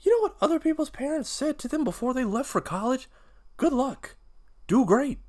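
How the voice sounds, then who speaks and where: Guy playing fed up grown son in a cartoon drama, hot tempered, emotional, pitch jumps all over. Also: wildly swooping pitch, normal speed, exaggerated voice. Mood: angry, hurt. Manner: emotional, exasperated outbursts.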